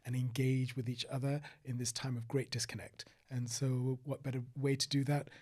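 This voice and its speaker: A middle-aged man from Sri Lanka, talking reflectively as an interview guest, with frequent restarts and soft trailing phrases. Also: medium pitch, flat delivery, moderate pace. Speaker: guest, former paediatrician. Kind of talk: conversational interview.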